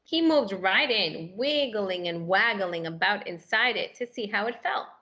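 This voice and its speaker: Female children's story reader, medium-pitched, expressive and animated, engaging young listeners as she reads. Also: unhurried, lo-fi sound. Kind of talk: expressive picture-book read-aloud.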